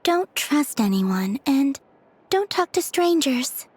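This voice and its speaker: An actress voicing a gentle, dreamy, soft-spoken young woman. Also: high-pitched, very animated, slow, dry recording, full character voice.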